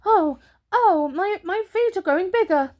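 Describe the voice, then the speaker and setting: A woman's voice: extremely high pitch, animated, measured pace, a little mellow. A woman voicing a silly, gullible little boy in a storybook, wailing and whining in a frightened, babyish way.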